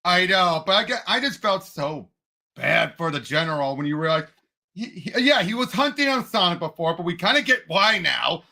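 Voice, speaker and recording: A man co-hosting a casual movie discussion podcast, talking emphatically and excitably and jumping in often. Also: high voice, moderate pace, creaky. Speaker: podcast co-host.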